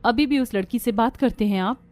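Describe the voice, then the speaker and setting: A woman's voice: on the high side, brisk, darkish tone, natural voice. A woman voicing a hurt, self-respecting young daughter-in-law with an earnest, emotional delivery. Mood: hurt, reproachful.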